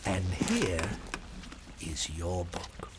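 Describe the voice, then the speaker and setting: An actor playing an eccentric, excitable and mysterious gentleman host in an audio drama, dithering and exclaiming theatrically. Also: low-pitched, very slow, noisy background, full character voice. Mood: grand, eager.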